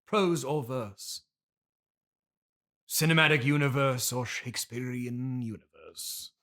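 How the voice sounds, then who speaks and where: A man's voice: mid-range voice, fairly bright tone. A man playing a pompous, earnest stage actor with a Received Pronunciation British accent, speaking theatrically and grandly as the guest in an improvised comedy interview. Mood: grandiose, earnest. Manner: theatrical, grandiose, drawn-out declamation. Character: pompous classically trained stage actor, earnest and self-important.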